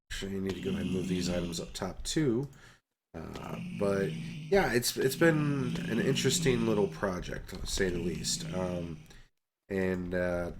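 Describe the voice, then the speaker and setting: A man's voice: low voice, slight background noise. A man with a stuffy, congested nose casually commenting on his gameplay, hesitant and full of ums and uhs as he explains each step. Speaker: host of a gameplay channel.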